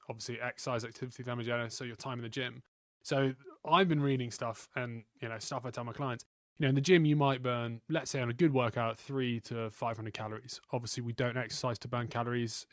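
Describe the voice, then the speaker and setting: A man's voice: medium pitch, no echo, clean studio sound. A man who works as a personal trainer, co-hosting a casual podcast, chatty and teasing with frequent tag questions.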